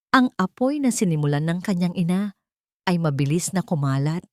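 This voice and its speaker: A woman's voice: low voice, darkish tone, dry recording, studio quality. A woman narrating a dramatic story in a scripted storytelling style.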